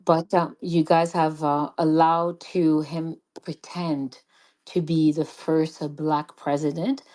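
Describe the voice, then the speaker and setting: A woman from Montreal with a voice, calling in to a radio show and speaking hesitantly with many pauses. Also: low-pitched, flat delivery, mellow. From Montreal, Canada. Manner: hesitant, many ums and uhs. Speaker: caller. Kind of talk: call-in radio comment.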